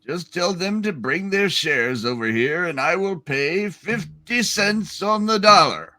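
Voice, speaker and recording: A man playing a scheming, smug old banker in a hammed-up radio play reading, deliberate and menacing. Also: sits high, animated, bright, forceful tone, exaggerated voice.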